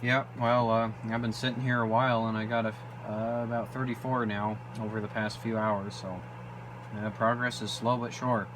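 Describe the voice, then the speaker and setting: An American man who works as an amateur radio operator, talking in a measured, matter-of-fact way. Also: mid-range voice, level tone, background noise. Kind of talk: ham radio contacts and casual narration.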